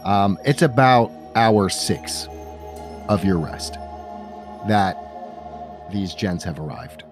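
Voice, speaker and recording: Low-voiced man running a fantasy game. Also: expressive, takes it slowly, over music. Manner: descriptive second-person storytelling. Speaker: game master. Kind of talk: narrating a tabletop fantasy game.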